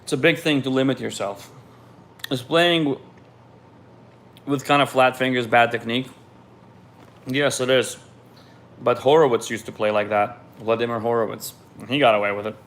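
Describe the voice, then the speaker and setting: A man's voice: medium pitch, fairly even intonation, moderate pace, pauses often, a bit bright. A man from Ukraine, raised in Israel, reads viewer questions aloud on a live stream and answers them in a loose, candid way.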